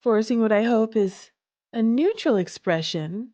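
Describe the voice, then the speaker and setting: A woman's voice: on the high side, lively intonation, unhurried, warm tone, muffled recording. A woman streaming a visual novel, chatting to viewers and reading the game text aloud with dramatic feeling.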